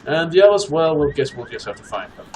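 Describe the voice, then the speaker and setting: A man's voice: a little high, big pitch swings, fast talker, a little mellow, rough recording. Man hosting game commentary, faint background noise. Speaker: host of a co-op gaming series. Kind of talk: casual let's play commentary. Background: game ambience.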